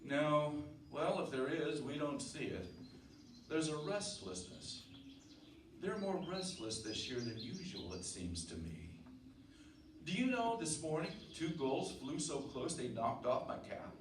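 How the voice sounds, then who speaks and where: A man playing a brooding, uneasy married farmhand in an earnest, measured old-time radio drama style. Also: a little high, lively intonation. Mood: uneasy, musing. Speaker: actor, narrator and lead. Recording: roomy, distant mic, clear background noise.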